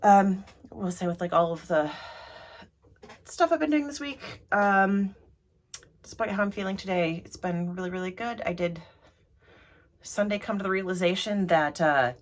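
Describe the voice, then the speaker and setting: A woman's voice: mid-range voice, normal speed. A woman vlogging in a rambling, casual way, sounding throaty and congested from allergies, with lots of ums. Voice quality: throaty, froggy. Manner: rambly, many ums and restarts.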